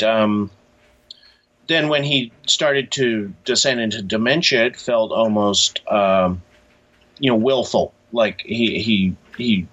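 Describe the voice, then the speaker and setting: A man's voice: fairly low pitch, fairly bright tone, husky, dull audio. A man speaking as an interview guest, reflective and hesitant, often restarting his phrases.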